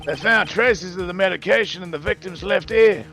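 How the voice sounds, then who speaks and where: Man playing a smug, creaky prosecutor. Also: high-pitched, moderate pace, bright, forceful tone. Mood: dry, knowing. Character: smug, cryptic male prosecutor in a courtroom game. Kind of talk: amateur live fan dub of a game. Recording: game music faintly behind voice.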